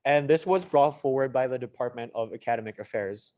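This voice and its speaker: A man's voice: medium pitch, a little fast, darkish tone. A young man from Mauritius moderating an informal online student presentation, with casual, slightly hesitant phrasing. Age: young adult. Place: online video call.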